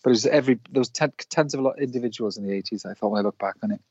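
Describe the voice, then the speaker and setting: A man from Wales, a musician guest, answering in a halting way with many restarts. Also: mid-range voice, darkish tone, slightly rough, dry recording. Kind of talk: casual interview chat. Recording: dull dry lo-fi line.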